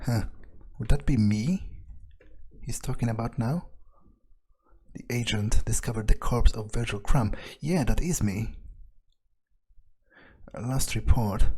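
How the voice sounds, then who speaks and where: A man with a slightly husky voice, narrating a game playthrough in character as a wary vampire, muttering his thoughts hesitantly. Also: lowish voice, measured pace, pauses often, a little mellow. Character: vampire agent, profane and wary, thinking aloud. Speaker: let's player speaking in character.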